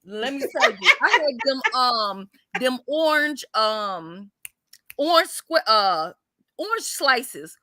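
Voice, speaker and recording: A raspy woman hosts a casual live chat. She tells stories loudly and salty, often breaking into laughter. Her voice is bright and cutting, pitched a little high, and recorded dry and close. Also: very animated, slow, no room sound. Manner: loud, salty, laughing storyteller. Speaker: host.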